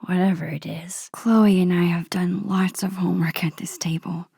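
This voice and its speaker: A woman playing a shy, wistful teenage girl in a video game, musing to herself in a soft, whispery voice. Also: lowish voice, little pitch movement, normal speed, gravelly, slightly rough, dry recording, clean studio sound. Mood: amused, musing. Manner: gentle, musing, talking to herself. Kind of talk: introspective game character monologue.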